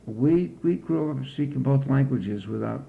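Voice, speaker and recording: An older man from the United States, recalling his childhood slowly and carefully in an oral history interview. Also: medium pitch, animated, vocal fry, narrow, tinny audio, a little hiss or hum. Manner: slow, measured recollection with frequent pauses.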